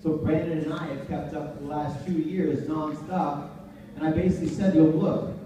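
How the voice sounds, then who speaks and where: A man's voice: on the high side, little pitch movement, moderate pace. A man speaking as host, telling anecdotes in a casual, colloquial way to introduce another speaker. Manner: colloquial, storytelling. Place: large echoey room, before a live audience. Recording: very echoey room, distant mic, noisy.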